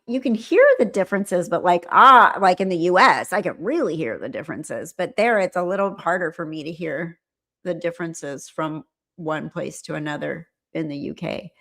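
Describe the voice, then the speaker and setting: A woman from the United States with a General American accent, hosting a livestream and chatting in a rambling, animated way. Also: lowish voice, vocal fry. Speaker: livestream host. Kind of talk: casual livestream chat.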